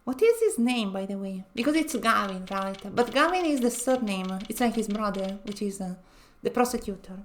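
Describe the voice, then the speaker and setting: A woman's voice: medium pitch, lively intonation, moderate pace, slight background noise. A woman with a nasal voice chatting casually as she streams a game, often laughing between phrases. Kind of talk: casual let's-play commentary.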